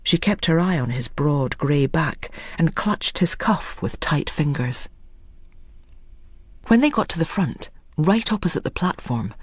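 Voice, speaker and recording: A storyteller narrates dramatic fiction in a husky, breath-edged voice of medium pitch. The recording is close and dry, with no room around the words. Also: lively intonation, measured pace, dry recording.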